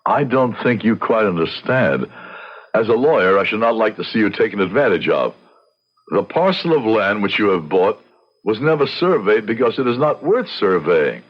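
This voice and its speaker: Courtly old-west judge, very low expressive voice, formal wording, dull radio sound. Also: male voice, normal speed. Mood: concerned. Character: genteel, articulate frontier lawyer and judge, man. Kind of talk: radio drama acting and narration. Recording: lo-fi radio recording with music behind.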